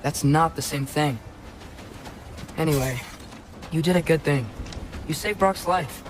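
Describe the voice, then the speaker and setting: Male actor as adolescent demigod for a game, sincere and casual, slightly high register. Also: measured pace, background noise, lightly acted. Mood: earnest. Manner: casual, earnest. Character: earnest, restless teenage boy, young demigod. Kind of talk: naturalistic video game acting.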